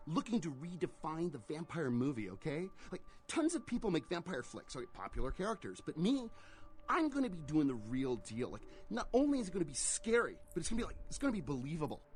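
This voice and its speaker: An actor playing a pretentious, excitable male hotel clerk and would-be screenwriter in a video game, pompous but full of slacker filler. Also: on the high side, quick pace. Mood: boastful.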